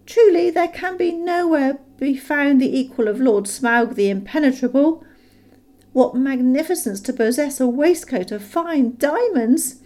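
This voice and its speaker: A woman voicing a small, nervous male hobbit who flatters in a high, squeaky voice. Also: a little slow. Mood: flattering.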